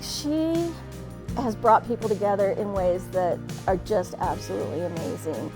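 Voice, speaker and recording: A woman's voice: medium pitch, moderate pace. A woman speaking admiringly about a friend in an interview. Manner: admiring, warm testimony. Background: music.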